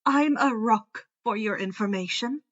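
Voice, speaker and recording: A woman's voice: on the high side, unhurried, muffled recording. A woman voicing a grumpy, sarcastic teenage girl who grumbles in exasperation in a story reading. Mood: exasperated.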